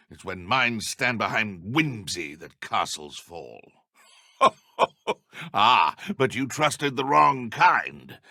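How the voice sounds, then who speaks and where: An actor playing a haughty, menacing dark wizard in a video game, with a British accent and pompous, formal, theatrical delivery. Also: male voice, mid-range voice, vocal fry, no echo, studio quality, exaggerated voice. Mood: smug, disdainful.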